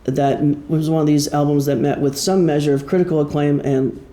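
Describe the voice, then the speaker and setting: A man giving an informal talk about his own creative work, speaking in a halting, self-deprecating, conversational way before an audience. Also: on the high side, fairly even intonation, warm tone. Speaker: author and musician giving a presentation. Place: indoor room before an audience. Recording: roomy live presentation sound.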